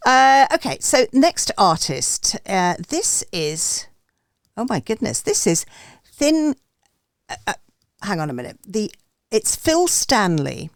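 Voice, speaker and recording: A woman presents a music show with a casual, chatty manner, wandering off on small digressions. Her voice sits at a medium pitch and the sound is dry, with very little room in it. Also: dry recording. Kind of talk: casual radio show chat.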